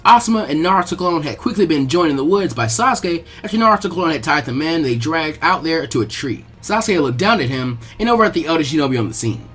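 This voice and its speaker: A man reading fan fiction aloud as narrator, in an animated storytelling style. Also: a little high, fast talker, hardly any pauses, faint music behind.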